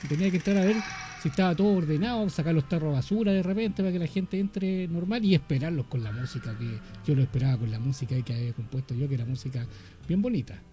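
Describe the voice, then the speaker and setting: Chilean dude, high voice, talks super fast, warm mellow tone, music low in the back. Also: male voice. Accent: Chilean Spanish. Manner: lively, quick storytelling. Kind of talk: casual radio conversation. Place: radio studio.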